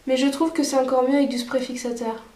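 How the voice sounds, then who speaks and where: A woman's voice: high-pitched, steady tone, fast, a little mellow, roomy sound. A woman vlogger with a cold, chatting casually through a makeup tutorial with many fillers. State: stuffy with a cold. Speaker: beauty vlogger.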